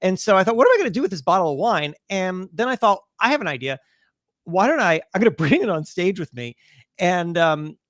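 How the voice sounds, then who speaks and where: A man speaking as an interview guest, telling stories conversationally and acting out bits of dialogue in an animated way. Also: on the high side, no echo, studio quality.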